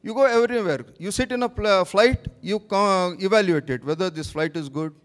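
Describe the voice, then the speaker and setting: A man speaks to a gathered audience in a fairly high voice. He stops and restarts often, breaking off words mid-thought. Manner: halting, with restarts and cut-off words. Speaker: speaker at a training meet. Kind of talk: informal talk to an audience.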